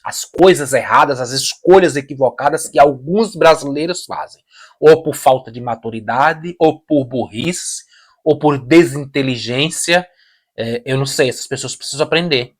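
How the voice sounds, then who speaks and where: A man with a Brazilian Portuguese accent talks through his views on camera. His voice sits in a middle pitch, and he leans on repetition and restarts as he makes his point. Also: normal speed. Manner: emphatic, repeats phrases, restarts sentences. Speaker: reaction video host. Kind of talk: casual opinion commentary.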